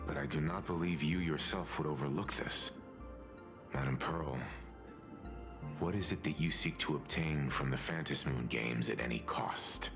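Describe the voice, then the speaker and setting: A man playing a grave, perceptive adventurer in a video game, speaking in a solemn, deliberate and formal manner. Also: rumbling low, gravelly, over music. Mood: stern, probing. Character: grave, stern, perceptive male adventurer ally.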